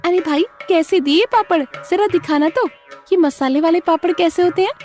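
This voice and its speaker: An inquisitive village shopper played by a woman narrator speaks in a very high, exclaiming voice. It is a cartoon story character, with music underneath. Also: moderate pace, a little mellow. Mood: curious, doubtful. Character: curious village woman customer, skeptical then approving.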